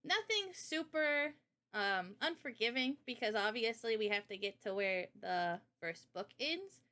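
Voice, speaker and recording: A woman's voice: a little high, animated, unhurried, sharp tone. A woman talking casually and informally in a livestream Q&A, explaining a project as its developer in slangy everyday language. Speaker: game developer presenting a visual novel project.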